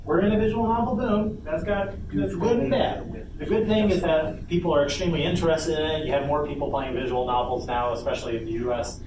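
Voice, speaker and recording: A man's voice: on the high side, a little fast, hardly any pauses, far from the mic. A man giving an informal workshop presentation, explaining things step by step with some hesitation. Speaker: workshop panelist. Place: convention panel room. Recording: echoey room from afar, noisy.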